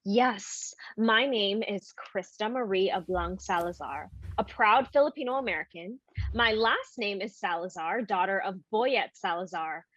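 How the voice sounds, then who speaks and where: An actress playing a proud, blunt Filipino American performer at an audition in a naturalistic play. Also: female voice, medium pitch, unhurried, a bit bright, no room sound. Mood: indignant. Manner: blunt, confrontational. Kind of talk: naturalistic stage play acting over video call. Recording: muffled, dry video-call audio.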